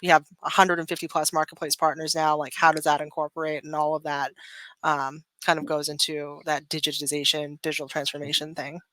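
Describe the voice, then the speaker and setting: A woman's voice: low voice, flat delivery, a little fast, a bit bright, no room sound. A woman being interviewed as a sales manager, talking in a chatty professional way and often saying "like" and "kind of".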